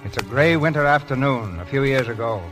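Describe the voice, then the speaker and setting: A man's voice: medium pitch, lively intonation, a little fast, over music, low-quality recording. A man delivering scripted announcements and narration in a 1930s radio show style, on an old broadcast recording.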